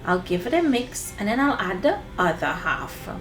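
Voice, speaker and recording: A woman's voice: mid-range voice, very animated, normal speed, fairly bright tone, faint music behind. A woman presenting a recipe for children in an instructive, friendly demonstration style, speaking directly to them.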